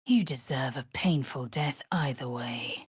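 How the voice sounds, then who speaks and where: Fantasy game role: proud, blunt warrior woman of a non-human race. Heavily rasped, breathy voice, imperious and unhurried, dry studio sound. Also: rumbling low, no echo, full character voice. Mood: scornful, threatening. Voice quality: husky, raspy, breathy edge. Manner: clipped, imperious, disdainful, deliberate. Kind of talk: video game character voice acting.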